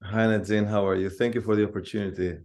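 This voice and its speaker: A man with an Albanian accent, a guest telling his life story in a casual interview, often pausing to search for words. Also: lowish voice, flat delivery, darkish tone. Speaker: guest musician.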